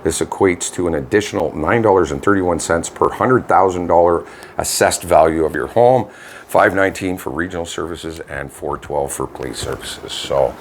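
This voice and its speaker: Low-voiced, laid-back Canadian man. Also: moderate pace. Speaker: co-host of a local online radio vlog. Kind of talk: casual chat with local news reading. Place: home studio. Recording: noisy home studio with background ambience.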